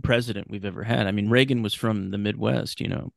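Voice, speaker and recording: A man's voice: lowish voice, steady tone, fast, vocal fry, no room sound, clean studio sound. An American man in casual podcast conversation as a guest, opinionated and casually profane. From Sacramento, California, United States. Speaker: podcast guest, host of his own show.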